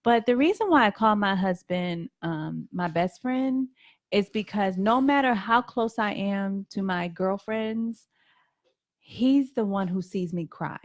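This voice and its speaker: A woman's voice: mid-range voice, a little slow, dry recording, studio quality. A woman hosting a radio talk show, chatting candidly and earnestly and repeating phrases for emphasis. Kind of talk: candid casual chat. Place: radio studio.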